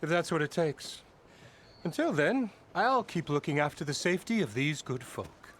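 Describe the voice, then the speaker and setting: An actor playing a pompous, blustering middle-aged labor boss who talks in grand, flattering, evasive turns in a video game. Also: male voice, fairly high pitch, normal speed, slight background noise.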